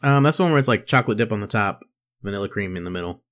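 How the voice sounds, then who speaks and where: A man's voice: on the low side, lively intonation, very fast, fairly bright tone. A man co-hosting a podcast, talking casually in a laid-back, slangy way. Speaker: podcast co-host. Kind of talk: casual banter, with some reading aloud.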